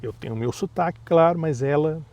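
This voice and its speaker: A man's voice: a little high, brisk, darkish tone, highs cut off. A man in his thirties with a Brazilian Portuguese accent, chatting casually as a father and co-host, often agreeing with his partner. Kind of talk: casual chat.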